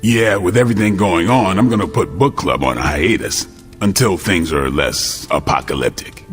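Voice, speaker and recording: A man's voice: sits low, normal speed, soft background music, natural voice. A man acting as a wry, thoughtful hero who leads a group in a video game cutscene, speaking conversationally. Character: wry, thoughtful male hero leading a book club.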